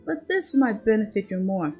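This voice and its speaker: A woman's voice: medium pitch, big pitch swings, normal speed, a little mellow. A genteel countess, played by a woman narrator from a period storybook. She is a proud, shrewd mother with a gracious manner. Faint music sits behind her. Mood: doting.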